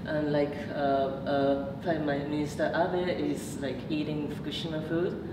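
A woman's voice: low voice, flat delivery, a little slow. A woman, giving a public talk as a researcher, hesitant with many fillers and restarts. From Japan. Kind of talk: public lecture with slides. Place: meeting room. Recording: echoey room from far off, noisy.

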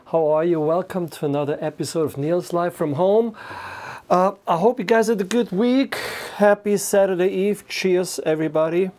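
A man's voice: sits high, moderate pace. A middle-aged man with a cold and a clogged-up voice, chatting loosely to viewers from his home studio while holding a guitar, with lots of fillers. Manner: relaxed, rambling with many fillers. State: congested from a cold. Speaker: guitarist hosting a home live stream. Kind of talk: casual chat to viewers.

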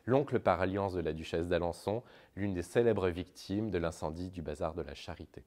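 A young man presenting history to camera as a guide, explaining in a halting way with frequent hesitations. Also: sits low, darkish tone. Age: young adult. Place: large indoor historic library.

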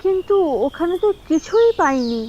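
A woman's voice: extremely high pitch, expressive, moderate pace, warm tone, highs cut off, a little hiss or hum. A woman playing a scheming, brazen village woman in a doll-story drama, with a theatrical, argumentative manner. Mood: conspiratorial.

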